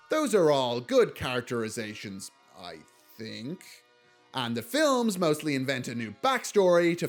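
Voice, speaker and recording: A man narrating a scripted film review video essay in a wry way, leaning hard on key words. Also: mid-range voice. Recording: music behind the voice. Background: background music.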